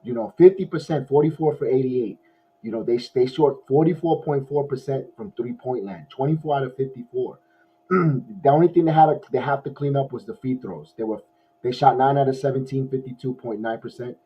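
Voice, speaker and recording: A man hosting a casual post-game sports podcast, his voice hoarse, rambling with many restarts like an excited fan. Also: medium pitch, brisk. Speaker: podcast host. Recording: dull lo-fi audio.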